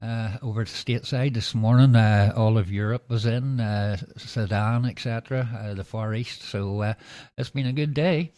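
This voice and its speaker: A Northern Irish man chatting over the radio as an operator, measured and full of fillers, closing each turn with "go ahead". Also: lowish voice, a little mellow, no room sound. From Northern Ireland. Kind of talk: casual radio chat.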